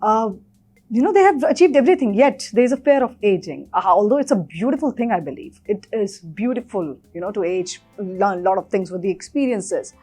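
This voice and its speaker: A woman's voice: mid-range voice, lively intonation, fairly quick, warm tone, breathy edge. Woman hosting, faint music behind. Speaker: talk show host. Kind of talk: conversational interview hosting.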